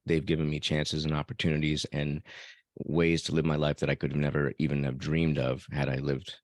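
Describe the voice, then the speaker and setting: Male podcast guest, extremely low pitch, dry close sound, thoughtful, pauses mid-phrase, reflective conversation. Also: completely flat delivery, dry recording. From born in El Salvador, raised elsewhere by adoptive parents.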